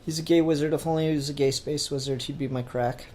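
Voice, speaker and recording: A man's voice: on the high side, fairly even intonation, fast, a little mellow. A man hosting a casual pop-culture podcast, talking informally with geeky enthusiasm and some mild swearing. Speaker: podcast host.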